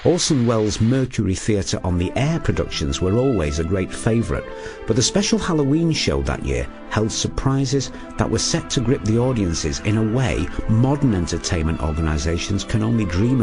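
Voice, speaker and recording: A man's voice: on the low side, big pitch swings, normal speed, over music. A man delivering scripted documentary narration in a storytelling manner.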